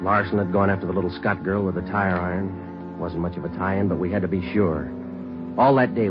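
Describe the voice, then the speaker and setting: An actor playing a terse, matter-of-fact police detective who also narrates, in a 1940s radio crime drama on a muffled broadcast. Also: male voice, low-pitched, lightly acted. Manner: clipped, flat, matter-of-fact.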